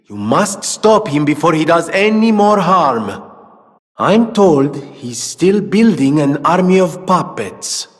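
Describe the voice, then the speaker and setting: An actor playing a wealthy, vain inventor and philanthropist in a video game, with pompous, flowery speech that turns hesitant when he is flustered. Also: on the high side. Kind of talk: theatrical video game voice acting. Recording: long reverberant hall.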